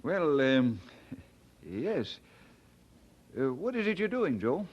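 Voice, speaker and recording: An earnest young preacher, thrifty and good-humoured. His voice sits high and swings freely up and down. The audio drama recording is dull, with some noise. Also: very animated, takes it slowly, husky, full character voice. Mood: friendly, tactful. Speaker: actor.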